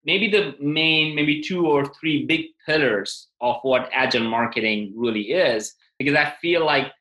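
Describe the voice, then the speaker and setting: Man, interview host, sharp bright tone, emphatic, conversational, voices quotes, noticeably roomy echo. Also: on the high side, unhurried. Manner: emphatic, acts out quoted remarks. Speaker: podcast host. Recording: echoey, reverberant room sound.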